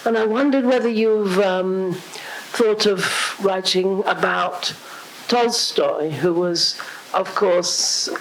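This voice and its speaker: Hoarse woman asking a slow question. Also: mid-range voice, slight background noise, rough recording. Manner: slow and halting, clearing her throat. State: hoarse, losing her voice. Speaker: audience member asking a question.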